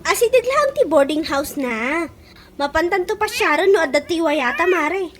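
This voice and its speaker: A woman's voice: very high-pitched, expressive, normal speed. A woman with an Ilocano accent playing a chatty, brash friend who works in the city, in a radio comedy drama. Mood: frank, teasing. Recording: clearly noisy radio drama recording.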